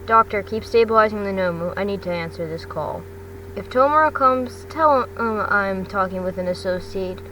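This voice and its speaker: A woman voicing a calculating, commanding villain, a grown man, in a story, with halting delivery. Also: mid-range voice, bright, forceful tone, voice pushed down, lightly acted. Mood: commanding, dismissive. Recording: distant mic, noisy background hum.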